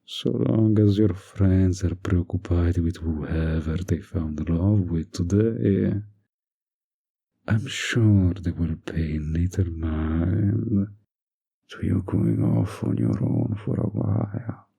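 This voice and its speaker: Casting: seductive fantasy villain played by a man, deep and gravelly, manipulative, teasing a hero in romantic audio roleplay, often near a whisper. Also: mellow, full character voice. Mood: seductive, probing. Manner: slow, teasing, seductive, insinuating.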